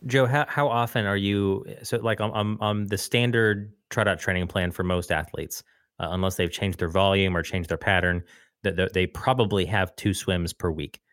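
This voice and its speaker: A man's voice: deep voice, fairly even intonation, normal speed, a bit bright, no echo, studio quality. A man hosting a podcast, asking his guests long conversational questions. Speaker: podcast host. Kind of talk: interviewing guests.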